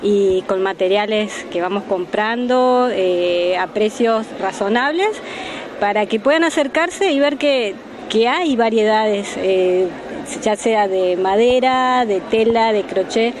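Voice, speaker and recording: A woman's voice: medium pitch, slow. A woman, an artisan vendor speaking earnestly and with energy in an interview. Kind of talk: casual interview. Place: large indoor fair hall. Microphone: handheld mic. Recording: crowd chatter behind.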